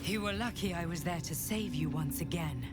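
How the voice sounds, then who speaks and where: A woman playing self-assured game companion girl, slightly low voice, dry and smug, cool delivery. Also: moderate pace, loud music behind the voice, close to an everyday voice. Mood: wry, amused. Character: sly, self-assured young female fantasy companion. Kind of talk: fantasy video game acting.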